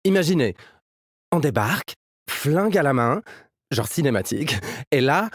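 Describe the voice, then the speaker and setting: A man's voice: a little high, sing-song, normal speed. A man playing an upbeat, cocky young musician fighter in a video game, with casual, playful team banter. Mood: playful, confident. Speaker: video game agent character. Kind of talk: game character voice lines.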